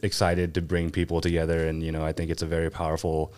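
A man's voice: rumbling low, monotone, fast talker, a little mellow, smooth, no echo. A young man from Pasadena, a guest on a casual podcast, talking in an easygoing, rambling way with lots of fillers. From Pasadena, California. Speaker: podcast guest, van builder.

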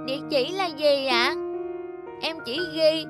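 A performer voicing a small, innocent, wistful child in an animated folk tale, polite and earnest in manner. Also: high-pitched, takes it slowly, loud music behind the voice.

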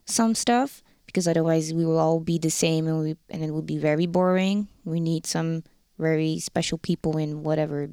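A woman with a Dutch accent talks in an open, lively way as an interview guest. Her voice sits a little low, and the sound is dry and close. Her first language is Dutch. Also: smooth, no room sound. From Netherlands. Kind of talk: casual interview chat.

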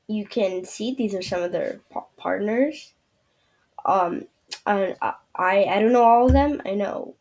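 A woman's voice: on the high side, gravelly, dark tone. A woman hosting her own show, talking through a screen-share review in a loose, rambling way with lots of fillers. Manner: rambling, loose, many fillers and trailing "yeah". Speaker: podcast host.

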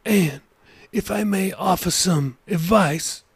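Gravelly, husky older pastor in a storybook reading, a higher voice, gentle and measured, as a male narrator puts him on, dry close sound. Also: no echo, heavily acted. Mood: counseling.

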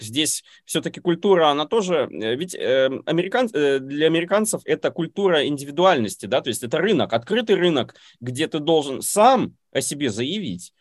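A man's voice: fairly high pitch, no echo, clean studio sound. A man whose first language is Russian, chatting casually and hesitating often with filler sounds. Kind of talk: casual conversation.

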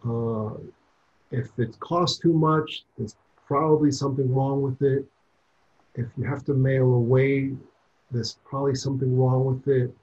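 A man in his sixties, born in Cuba, speaking as a clinician giving advice. His voice is dark and mellow, and he stresses his points by repeating them. The audio is dull and lo-fi, as from a remote call. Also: mid-range voice, fairly even intonation, unhurried. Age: 60s or older. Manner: earnest, repeats himself for emphasis. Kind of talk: interview answers.

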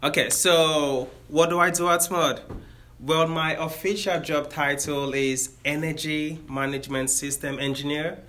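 A man's voice: on the high side, fairly even intonation, a little slow, pushed and bright. A man in his thirties with a Nigerian accent, giving a friendly career talk to students and explaining step by step.

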